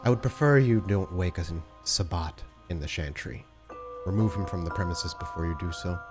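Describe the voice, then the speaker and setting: A man's voice: sits low, normal speed, music in the background, natural voice. A man voicing an aloof female vampire sorcerer in a tabletop game, speaking formally and without humour. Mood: curt, businesslike. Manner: formal, terse, clipped courtesy.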